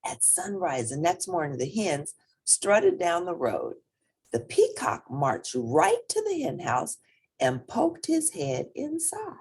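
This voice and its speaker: A woman reading a children's picture book aloud as an expressive storyteller. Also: on the low side, takes it slowly, slightly rough.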